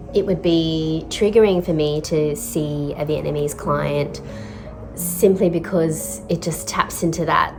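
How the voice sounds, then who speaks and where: Low-voiced woman, thoughtful and measured. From Australia. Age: 30s. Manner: thoughtful, measured, with frequent pauses and fillers. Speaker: therapist. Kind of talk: reflective vlog voice-over. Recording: voice-over with clear music behind.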